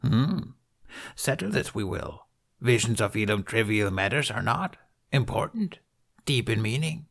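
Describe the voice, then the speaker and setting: A man's voice: medium pitch, animated, a little slow, creaky, fairly bright tone, heavily acted. A man voicing an ancient, wise alien master who speaks in cryptic, inverted sentences. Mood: commanding. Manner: inverted word order, cryptic phrasing.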